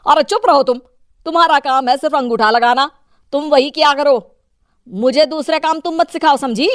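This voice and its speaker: A woman's voice: high voice, moderate pace, no echo, studio quality, voice pushed up, close to an everyday voice. A woman voicing a domineering, corrupt, self-important husband and politician in a cartoon moral story. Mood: angry, bossy.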